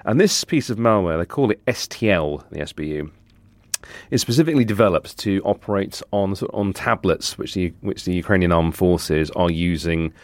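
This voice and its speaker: A man, a reporter, giving explanatory analysis on a news podcast in a measured way with asides. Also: sits low, lively intonation, dry recording. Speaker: guest senior technology reporter.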